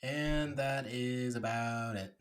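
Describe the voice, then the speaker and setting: A man's voice: mid-range voice, steady tone, slow pace. A man co-hosting a podcast, speaking in a casual, conversational style. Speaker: podcast co-host. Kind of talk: casual chat.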